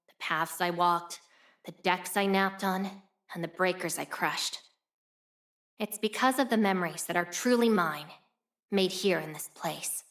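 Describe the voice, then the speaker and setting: A woman's voice: medium pitch, a bit bright, lightly acted. A woman voicing a proud, blunt young female fire warrior for a video game, with cool, cocky, nonchalant delivery. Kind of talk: scripted game character voice lines.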